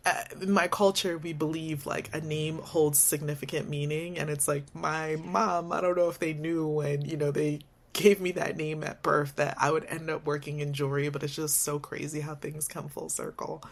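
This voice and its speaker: A woman's voice: low voice, little pitch movement, moderate pace, vocal fry, hardly any pauses. A woman guest from the Bronx chatting in an interview, candid and telling her story easily. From Bronx, New York. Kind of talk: casual interview chat.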